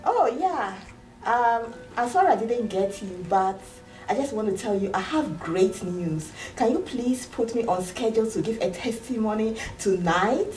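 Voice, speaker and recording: A woman's voice: on the high side, animated, measured pace, a little mellow. A middle-aged woman with a Ghanaian accent acting an eager, apologetic immigrant in an amateur comedy sketch, gushing and stumbling over her words. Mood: excited, hurried. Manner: gushing, hesitant restarts. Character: excitable, eager, apologetic immigrant woman with a new green card. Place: indoor office waiting room and counter. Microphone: far camera. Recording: roomy, distant camera mic with hiss.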